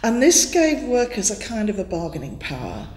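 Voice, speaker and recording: A woman's voice: mid-range voice, wildly swooping pitch, normal speed, a little mellow. An older woman from Wales, a native Welsh speaker, giving an academic lecture in a classroom on a headset mic, thoughtful, with many fillers and restarts. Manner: thoughtful, many fillers and restarts, gestures a lot with both hands. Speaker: guest lecturer. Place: classroom in front of a chalkboard. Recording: echoey room with long reverb.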